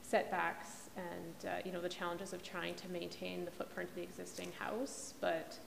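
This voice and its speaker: Brief: hesitant woman commissioner, heavy vocal fry, lower pitch, echoing hall. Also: little pitch movement, normal speed. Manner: hesitant, thinking aloud, very creaky. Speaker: planning commission member. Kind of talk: formal meeting commentary. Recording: reverberant meeting room, faint hiss.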